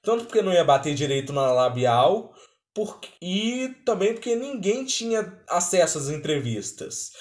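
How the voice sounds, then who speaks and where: A man's voice: sits high, big pitch swings, moderate pace. A man with a Brazilian accent giving casual, conversational reaction commentary as the host of a video. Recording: roomy, echoey sound.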